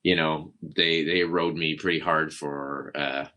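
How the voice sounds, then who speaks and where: A man's voice: rumbling low, fairly even intonation, takes it slowly, bright, forceful tone. A man casually telling a long, self-deprecating story as a guest on a chat show.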